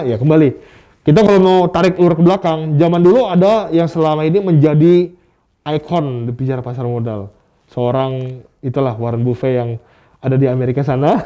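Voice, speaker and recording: Mellow, higher-voiced man explaining casually. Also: brisk. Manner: conversational, explains with acted-out questions and examples. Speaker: guest expert on stock market investing. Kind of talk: casual explanatory interview talk.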